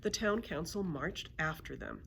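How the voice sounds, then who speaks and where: A woman narrating a children's book aloud as a storyteller, in an expressive read-aloud style. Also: deep voice, measured pace, gravelly, slight background noise. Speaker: librarian storyteller.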